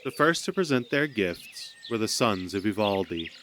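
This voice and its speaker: Low-pitched man narrating a myth. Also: big pitch swings, moderate pace, fairly bright tone, a little hiss or hum. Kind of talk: scripted storytelling narration of myth.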